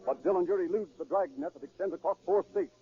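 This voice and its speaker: A man's voice: sits high, fast talker, breathy edge. A man delivering dramatic scripted narration in the style of 1930s radio. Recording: tinny, phone-like 1930s radio recording.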